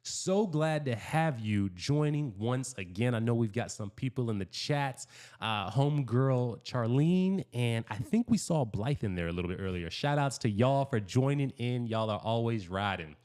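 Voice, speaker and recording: A man's voice: medium pitch, expressive, moderate pace, a little mellow. A man hosting a live podcast interview, laid-back and chatty, laughing often. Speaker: podcast host.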